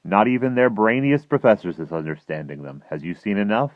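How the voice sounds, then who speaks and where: Man playing a folksy gentle giant, pitched up. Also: very animated, fairly quick, dry recording, narrow, tinny audio. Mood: gentle, insistent. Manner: rustic mangled grammar. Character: big gentle friendly male giant, folksy and wise.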